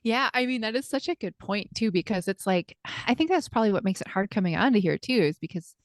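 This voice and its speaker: A woman's voice: mid-range voice, lively intonation, fast talker, no echo, clean studio sound. A woman hosting a podcast, chatting in a thoughtful, agreeable way with plenty of casual fillers. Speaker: podcast host, life coach. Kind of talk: casual conversation.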